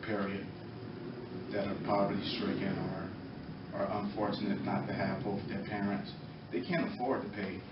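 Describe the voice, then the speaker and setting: A middle-aged American man giving earnest, halting, impromptu testimony at a public meeting, pausing between short phrases. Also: lowish voice. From Norwalk, Connecticut, United States. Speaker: former boxer speaking in support of a youth program. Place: indoor meeting room, far from the mic. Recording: echoey, muffled, distant, noisy room recording.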